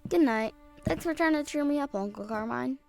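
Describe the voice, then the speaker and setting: A woman's voice: high-pitched, a little fast, creaky. A woman playing an earnest, timid, polite little boy in an audio drama. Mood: curious, polite. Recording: loud music over the voice.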